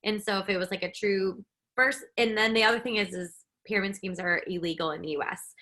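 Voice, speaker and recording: Woman, bright tone, webinar host, casual, fillers, video call. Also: medium pitch, fairly quick. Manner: upbeat, filler-heavy, explaining.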